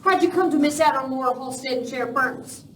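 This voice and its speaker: Actress as tough female Western gang boss, high voice, curt and threatening, live radio play in an echoey room. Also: a little fast, a bit bright. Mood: angry, threatening. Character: tough, ruthless young woman boss of Western outlaws. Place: live performance in a village venue. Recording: echoey hall, distant mic, faint noise.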